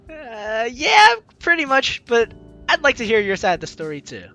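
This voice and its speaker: A woman hosting a casual let's play, reading game text aloud and reacting chattily. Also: a little high. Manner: animated, chatty reactions to the story. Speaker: gaming channel host. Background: game music.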